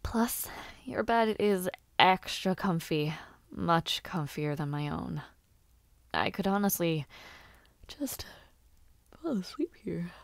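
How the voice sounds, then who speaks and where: A woman's voice: fairly low pitch, sing-song, slow pace, vocal fry, pauses often, no room sound, heavily acted. A woman playing an eager, playfully bossy young noblewoman who hopes to be a knight, in an intimate audio roleplay, with formal old-fashioned phrasing and little hesitations. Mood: drowsy, reluctant.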